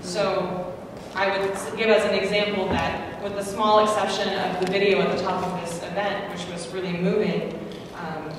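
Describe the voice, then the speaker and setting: A woman's voice: on the low side, little pitch movement, normal speed, hardly any pauses. A woman from the United States speaking as a panelist, earnest and self-deprecating, with many ums. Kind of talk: conference panel talk. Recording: echoey hall from far off, noisy.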